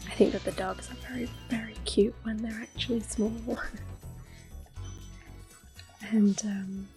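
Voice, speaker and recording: A young adult woman giving gentle, soft-spoken ASMR commentary on a game, breathy and often dropping to a whisper. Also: mid-range voice, steady tone. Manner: gentle, unhurried. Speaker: ASMR gameplay host. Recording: quiet game music behind. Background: soft video game music.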